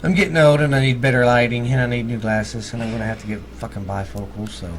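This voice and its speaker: A man's voice: mid-range voice, steady tone, fast talker, slight background noise. A middle-aged man from Texas with a Texan accent, chatting casually as a podcast co-host in a blunt, folksy, profane way. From Texas, United States. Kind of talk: casual ghost-story chat.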